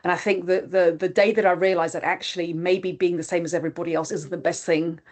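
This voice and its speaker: A woman's voice: fairly low pitch, level tone, fast talker. A woman whose first language is not English, speaking openly and reflectively as a panelist in a webinar over a video call. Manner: reflective, open, with frequent fillers.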